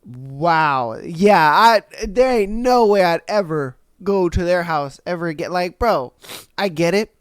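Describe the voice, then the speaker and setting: A man's voice: sits high, lively intonation, measured pace, clear, resonant tone, no room sound, clean studio sound. A man hosting a podcast, still recovering from an illness, with a slightly nasal voice and loose, slangy, profane reactions. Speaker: podcast host. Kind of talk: casual commentary on read-out posts.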